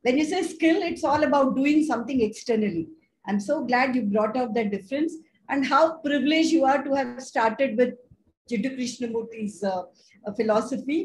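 A woman with a fairly high voice moderates an online panel, emphatic and appreciative. Her sound is roomy, with a faint hiss behind it. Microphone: video call. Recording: roomy video call with faint noise.

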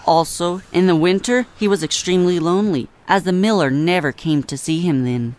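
Man as a gentle little songbird storyteller. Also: high-pitched, close to an everyday voice. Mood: gentle narration. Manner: measured, polite storyteller. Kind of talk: audio drama acting and storytelling.

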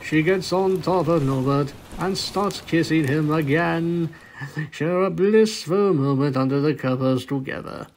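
A man's voice: a little high, a little slow, low-quality recording. A man narrating a cartoon fan fiction romance, reading it out like a story. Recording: faint noise behind the voice.

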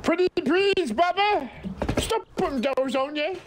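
A woman's voice: very high voice, lively intonation, unhurried. A woman voicing a needy, affectionate, pleading oddball in online game role-play, whining and wheedling. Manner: whiny, wheedling, calls others brother. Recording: noisy game voice chat with clicks.

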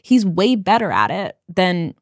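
A woman in her thirties hosts an interview, speaking thoughtfully and clearly. Her voice sits a little low. The studio mic sound is dry and close. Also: big pitch swings, measured pace, no room sound. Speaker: podcast host. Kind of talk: casual interview conversation. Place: dark podcast studio. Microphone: studio mic on boom arm, headphones.

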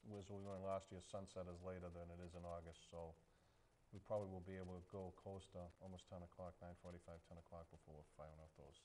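Fast-talking middle-aged man, low voice. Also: level tone, dark tone, slight background noise. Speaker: event organizer. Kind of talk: local cable talk show chat. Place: studio set against an outdoor backdrop. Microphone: lapel mic.

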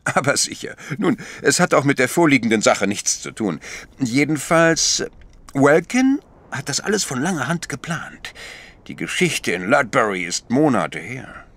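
An actor playing an astute, patient priest detective in a German audio drama, explaining deliberately with gentle chiding. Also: male voice, mid-range voice, big pitch swings, dry recording, full character voice.

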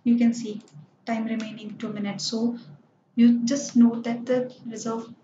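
A woman narrating a screen-recorded software tutorial, explaining each step plainly with lots of "so" and "like". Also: on the high side, flat delivery, unhurried, slight background noise. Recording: dull, roomy screencast voice-over.

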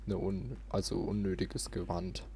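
A man with a low voice gives casual, off-the-cuff gameplay commentary. There is faint noise behind him as he talks through what he is doing. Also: measured pace, dark tone. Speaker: let's player.

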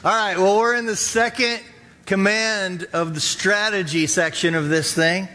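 A man's voice: sits high, fairly even intonation, a little slow, pushed and bright. An American man in his forties speaking as a pastor, upbeat and casual, chatting informally with his congregation from a church stage. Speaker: pastor leading a church service. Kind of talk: informal interactive sermon. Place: church auditorium stage, indoors.

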